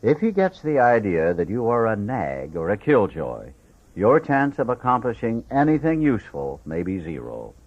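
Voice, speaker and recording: A man's voice: fairly low pitch, wildly swooping pitch, normal speed. A man reading a book aloud as scripted audiobook narration. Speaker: audiobook reader. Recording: dull, lo-fi audio.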